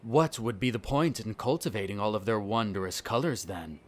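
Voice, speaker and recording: A man's voice: on the low side, normal speed, slight background noise, close to an everyday voice. A man acting a smug, contemptuous aristocratic vampire in an audio roleplay, with formal, disdainful diction. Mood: haughty, instructive.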